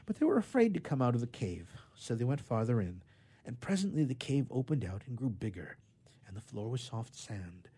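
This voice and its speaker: A man narrating a children's story in an expressive, theatrical and emphatic way. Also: mid-range voice, fairly quick, husky.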